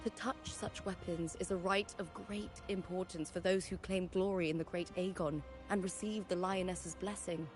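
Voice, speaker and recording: A woman's voice: on the low side, fairly even intonation, moderate pace, hardly any pauses, darkish tone, faint music behind, close to an everyday voice. A woman voicing a proud, spirited young female warrior champion with a ceremonious manner in video game dialogue. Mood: solemn, reverent.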